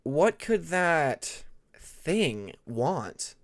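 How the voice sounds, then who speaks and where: Narrator acting out a young male dragon, curious, unsure, hesitant, questioning, lively pitch, fantasy audiobook. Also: very slow, full character voice. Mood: troubled.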